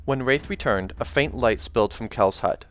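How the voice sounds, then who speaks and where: A man's voice: medium pitch, normal speed. A man reading a fantasy novel aloud as dramatic scripted narration. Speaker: audiobook reader. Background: background music.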